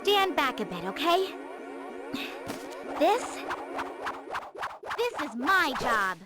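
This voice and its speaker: Sassy high-pitched ancient girl. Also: sing-song, drawn-out words, heavily acted. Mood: curious, assertive. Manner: sassy, blunt, casual. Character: brash, bossy ancient girl, two thousand years old. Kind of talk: video game cutscene acting. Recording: game music behind.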